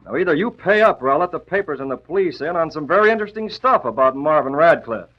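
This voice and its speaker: An actor playing a tough-talking, sardonic masked vigilante in a 1940s radio drama. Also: male voice, a little high, lively intonation, fast talker. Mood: threatening. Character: commanding masked male vigilante, menacing and sardonic. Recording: muffled vintage radio recording.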